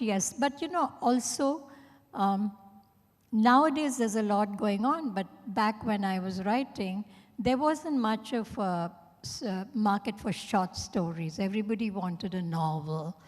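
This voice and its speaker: A woman with a medium-pitched voice speaks as a writer on a live panel. Her delivery is conversational and frank, worked out as she goes. Long reverb from the hall surrounds her. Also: a little mellow. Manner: candid, plain-spoken, conversational. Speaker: author answering questions. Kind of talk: unscripted panel answer. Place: large indoor event hall at a hotel.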